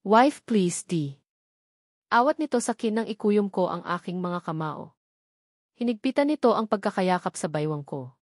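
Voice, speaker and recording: A woman's voice: medium pitch, expressive, moderate pace, darkish tone, dry recording. Woman narrating a novel, dry recording. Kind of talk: scripted dramatic story narration in first person.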